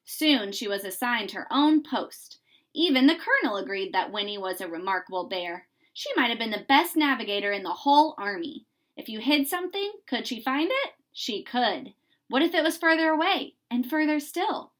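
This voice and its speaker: Woman reading a storybook, fairly high voice. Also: expressive, moderate pace, a bit bright. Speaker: storybook reader. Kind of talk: expressive children's read-aloud narration.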